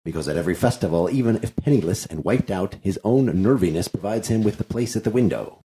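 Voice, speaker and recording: A man's voice: deep voice, lively intonation, fast talker, warm tone, a little hiss or hum. A man reading the part of a wordy, complaining comic servant in a classical play, with wry, theatrical flourishes. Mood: wry, boastful. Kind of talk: unrehearsed dramatic play reading of classical comedy. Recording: echoey room.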